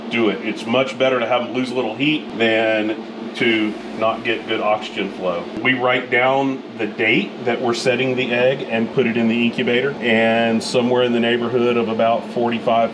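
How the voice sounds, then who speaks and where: A man from northern Florida, his voice rough and congested, explaining emu egg incubation in a folksy, talkative way in a small hatchery room. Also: medium pitch. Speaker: emu farmer. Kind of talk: casual how-to farm video. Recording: echoey small room, air conditioner hum.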